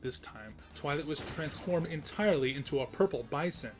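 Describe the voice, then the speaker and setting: A man's voice: fairly high pitch, animated, a little fast. Male storyteller over light music. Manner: storytelling, wry asides. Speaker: narrator. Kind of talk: scripted comic story narration.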